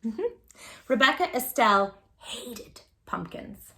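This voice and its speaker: A woman hosting a children's storytime, speaking in an animated, gushing way straight to young listeners as she chats and reads aloud. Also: a little high, slow pace. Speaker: children's storytime host and creator of the channel. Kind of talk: children's read-aloud and direct-to-viewer chat.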